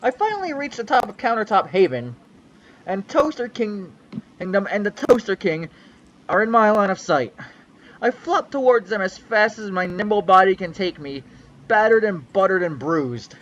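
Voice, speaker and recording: A man's voice: sits high, sing-song, moderate pace, a bit bright, highs cut off. A young man giving a mock-dramatic reading of a fanfic on a livestream, with a theatrical, sweeping storyteller delivery. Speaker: reader on a livestream.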